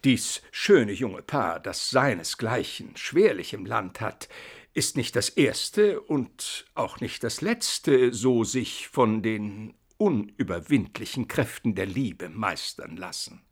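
An actor in a German radio play voicing a conciliatory priest who reasons in archaic, sermonizing phrases. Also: male voice, on the low side, expressive, slow pace, breathy edge, heavily acted. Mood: pleading, soothing. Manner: unctuous, sermonizing, archaic speech. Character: conciliatory, measured village priest, pleading for reason.

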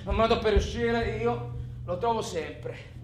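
An actor playing a volatile, haunted robber who confesses in a colloquial, profane radio theatre monologue, swinging from hushed to shouting. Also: male voice, high-pitched, slow pace. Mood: agitated, urgent. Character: volatile, haunted male robber recalling his crimes. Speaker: solo actor in a radio play. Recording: echoey room with noisy hum.

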